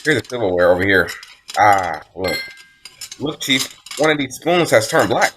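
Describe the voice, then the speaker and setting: Woman acting a very low-voiced junior policeman. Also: lively intonation, normal speed, fairly bright tone, slight background noise. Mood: dutiful, observant. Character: deferential junior police detective, male. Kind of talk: radio mystery acting.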